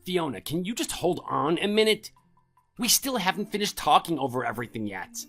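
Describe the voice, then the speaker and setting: A man playing an arrogant, whiny husband in an audio drama, blustering with a husky voice. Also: fairly high pitch, very animated, brisk, fairly bright tone, soft background music, heavily acted. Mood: unsettled, pleading. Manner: indignant, blustering, pleading. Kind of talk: amateur audio drama acting.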